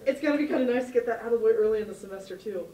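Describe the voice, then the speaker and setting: Fast-talking woman reporter, roomy and distant. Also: on the high side, warm tone. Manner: chatty, rambling questions with fillers. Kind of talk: press conference questions. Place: press conference room. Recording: roomy, distant off-camera mic.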